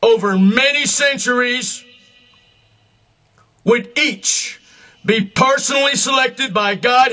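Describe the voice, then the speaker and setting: Very high-pitched man, slow solemn proclamation. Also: bright, forceful tone. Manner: grandiose, emphatic proclamation in deliberate short phrases. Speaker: self-proclaimed religious leader. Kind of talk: solemn scripted public religious address.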